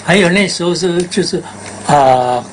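An older woman, a veteran broadcaster, recalling the past haltingly in an interview. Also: lowish voice, slight background noise. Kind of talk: reminiscing in an interview.

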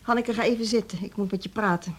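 Casting: proud middle-aged female director, played by an actress, formal and measured, easily slighted, mid-pitched voice, radio drama. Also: slight background noise, close to an everyday voice. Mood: stern, aggrieved. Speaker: music school director.

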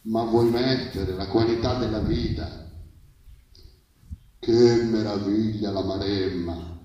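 A man delivers spoken-word poetry in an incantatory way, leaning on refrains. His overall pace is slow, and the live recording is heavily echoing and somewhat far from the microphone. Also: mid-range voice, a little mellow, highs cut off. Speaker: poet performing at a reading. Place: live public reading, heard from a distance. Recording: very echoey, distant live recording.

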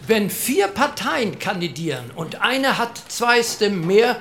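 An actor playing a dry, pragmatic debater who reasons in a pointed, didactic way. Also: male voice, high-pitched, big pitch swings, a little slow, a bit bright, a little hiss or hum, heavily acted. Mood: pointed, skeptical. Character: dry, pragmatic debater explaining electoral arithmetic. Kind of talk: dramatized debate.

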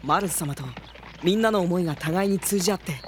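A woman's voice: on the low side, a little fast, slight background noise, natural voice. Woman acting an earnest young boy mage. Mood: earnest, moved. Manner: earnest, respectful. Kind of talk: radio drama acting.

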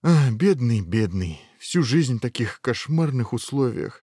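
A man's voice: fairly low pitch, animated, normal speed, gravelly, dark tone, breathy edge, no room sound, clean studio sound, exaggerated voice. A man voicing a sensitive, melancholic young prince in a storybook tale, mournful and self-pitying. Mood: gloomy, tearful.

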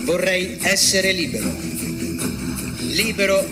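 High-voiced man singing over loud music. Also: fairly even intonation, slow pace. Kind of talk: theatrical singing of a slow song, partly half-spoken. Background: loud musical accompaniment.

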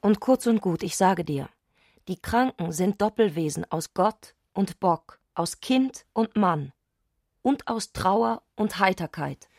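A woman's voice: mid-range voice, animated, slow, a little mellow, dry recording, clean studio sound. A fervent, visionary woman, closely recorded. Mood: exalted, insistent. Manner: insistent, declarative, visionary. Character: Clarisse, fervent, eccentric woman obsessed with madness. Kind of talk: literary radio drama acting.

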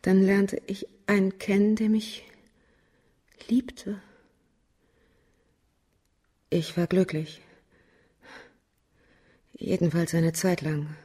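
An actress playing a bitter, world-weary murderess in a German radio crime drama, speaking haltingly and often sinking into whispers. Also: female voice, medium pitch, dark tone, husky.

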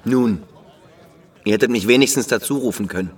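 An actor playing an uneasy, slighted teenage military cadet around 1900 in a radio drama, recorded at a distance. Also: male voice, mid-range voice, fairly quick, slightly rough, close to an everyday voice. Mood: piqued, reproachful.

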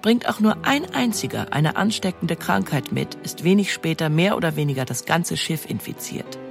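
A woman's voice: fairly low pitch, expressive, moderate pace, gravelly, soft background music. A woman giving scripted documentary narration as the narrator of an audio feature.

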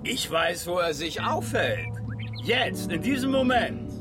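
An actor playing a wily, bargaining schemer in a German western radio drama. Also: male voice, sits high, very animated, slow pace, loud music behind the voice, full character voice. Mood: sly, persuasive. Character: wily, bargaining male schemer in the Wild West.

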